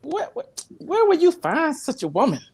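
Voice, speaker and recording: A woman playing an eager, excitable royal companion with dramatic, exclamatory delivery in a comedy table read. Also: high voice, moderate pace, exaggerated voice. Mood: questioning.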